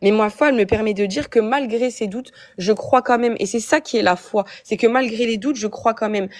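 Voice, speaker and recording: A woman's voice: on the high side, fairly quick, a little mellow. Young woman calling in from her phone, keeps restarting, stumbling over words. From France. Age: young adult. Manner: hesitant, many restarts. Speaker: guest caller. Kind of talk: live stream debate. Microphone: phone app call.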